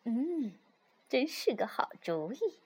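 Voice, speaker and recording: A woman acts out a gentle mother fox for a children's storybook. She sounds soft and doting, with an anxious edge. Her intonation is expressive and moves up and down a lot as she coaxes. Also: high voice, takes it slowly, slightly rough, heavily acted. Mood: nervous, admiring. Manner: expressive, coaxing.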